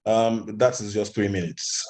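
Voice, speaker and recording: A man's voice: lowish voice, fairly even intonation, unhurried, darkish tone. A man from Nigeria giving conversational interview answers as an animation studio founder, in hesitant phrasing with repeated restarts.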